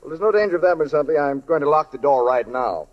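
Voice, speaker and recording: A man's voice: fairly high pitch, animated, fast talker. An actor playing a courteous, businesslike male studio technician in a 1940s radio play, matter-of-fact. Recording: dull old radio recording.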